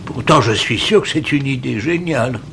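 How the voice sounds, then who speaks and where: A man's voice: mid-range voice, lively intonation, normal speed, vocal fry, background noise. A man voicing a wise, enthusiastic inventor who leads a prehistoric tribe in an educational cartoon, theatrical and pleased with his own ideas. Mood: flustered. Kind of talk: animated educational cartoon acting.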